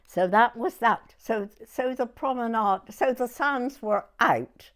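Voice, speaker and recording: A woman in her nineties with a southern English accent, reminiscing in an unhurried, halting way in short broken phrases. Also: on the high side. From southern England. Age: 90s. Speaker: oral history interviewee. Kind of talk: personal reminiscence in conversation.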